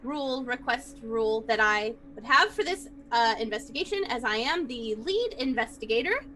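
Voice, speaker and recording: A woman playing a tabletop roleplaying game, improvising in character in a halting, particular way. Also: on the high side, animated, sharp tone. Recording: faint background noise.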